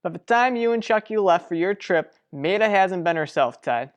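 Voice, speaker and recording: A woman's voice: lowish voice, lively intonation, normal speed, lightly acted. A woman playing a level-headed, exasperated, protective sibling in an old-fashioned radio soap opera, matter-of-fact and chiding. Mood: concerned.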